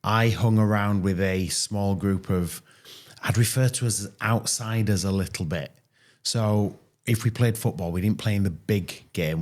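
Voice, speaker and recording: A man from East Leeds with a Yorkshire accent, a podcast guest telling childhood stories in a friendly, relaxed way. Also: low-pitched. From East Leeds, England. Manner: friendly, relaxed storytelling with fillers. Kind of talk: casual interview storytelling.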